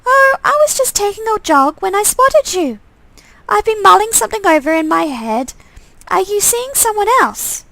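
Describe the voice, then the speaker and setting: A woman puts on a jealous shapeshifter sweetheart from a story game, pitched very high with some creak. The questions come out pointed and probing, in a dry recording. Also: very animated, normal speed, sharp tone, no room sound, heavily acted, poor audio.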